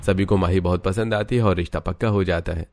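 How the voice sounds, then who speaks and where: A man's voice: deep voice, fairly even intonation, fast talker, darkish tone. A man reading scripted story narration with music playing behind him. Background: background music.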